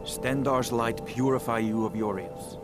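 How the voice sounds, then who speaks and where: An actor playing a solemn, devout holy healer and demon hunter in a fantasy video game, formal and grave. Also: male voice, medium pitch, measured pace, lightly acted. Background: music.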